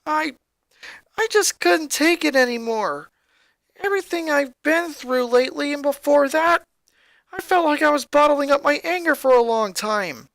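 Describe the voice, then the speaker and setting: A woman's voice: high-pitched, expressive, moderate pace, fairly bright tone, no room sound, pitched above the performer's own voice. A woman voicing an angry, hurt young boy in an emotional, emphatic fan fiction reading. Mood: agitated, upset. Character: angry, hurt young boy venting about his family.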